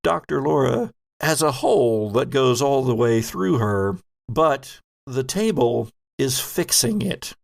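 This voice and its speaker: A man narrating a tabletop horror game as game master, descriptive and deliberate, pausing between phrases. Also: mid-range voice, expressive, gravelly.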